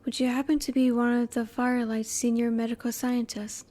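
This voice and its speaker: A woman voicing a curious, reserved female alien scientist in a roleplay audio, speaking politely in formal, measured, halting phrases. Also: on the high side, flat delivery, mellow, natural voice. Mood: apologetic, formal. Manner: formal, measured, precise wording with halting pauses. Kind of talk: scripted roleplay audio, spoken intimately to the listener.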